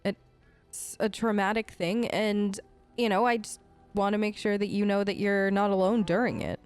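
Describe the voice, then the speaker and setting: A woman hosting a let's play, improvising in character in a casual, halting, supportive conversational way. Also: medium pitch, fairly even intonation, darkish tone, smooth, soft background music.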